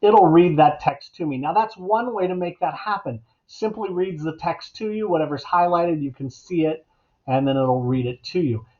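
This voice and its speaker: A middle-aged man giving a casual, instructional software tutorial as an educator at his computer. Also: on the high side, moderate pace. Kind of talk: casual instructional screencast narration. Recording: dull, lo-fi computer mic sound.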